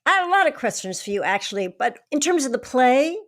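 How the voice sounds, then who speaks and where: A woman's voice: sits high, very animated, quick pace, fairly bright tone. A woman hosting a conversational panel interview, putting questions to her guests. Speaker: host, interviewer.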